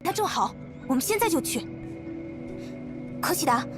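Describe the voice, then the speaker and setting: A woman's voice: high voice, sing-song, normal speed. A woman voicing a small, confident, caring companion in an anime-style video game, chirpy and encouraging. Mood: upbeat. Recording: music behind the voice.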